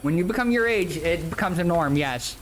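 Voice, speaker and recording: Man, early forties, fairly high voice, casual game streamer chat, informal and chatty. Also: lively intonation, brisk. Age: early 40s. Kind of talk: casual chat while gaming.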